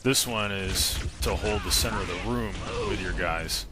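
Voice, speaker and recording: Low-voiced man explaining a game. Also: moderate pace. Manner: plain, instructional, informal. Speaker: game walkthrough narrator. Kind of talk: casual explanatory gameplay commentary. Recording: voice-over with clear game noise behind.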